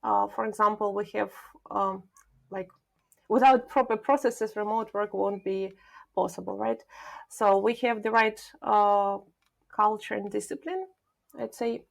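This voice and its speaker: A woman from Ukraine, a product manager answering questions thoughtfully as a podcast guest, pausing often with filler sounds. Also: mid-range voice, fairly even intonation, gravelly. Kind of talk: podcast interview.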